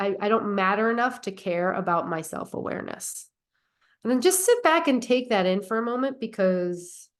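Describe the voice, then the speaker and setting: A woman with a cold, sounding nasal and stuffy, hosting her own podcast in an earnest, reflective way and asking rhetorical questions. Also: medium pitch. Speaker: podcast host. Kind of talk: casual solo podcast talk.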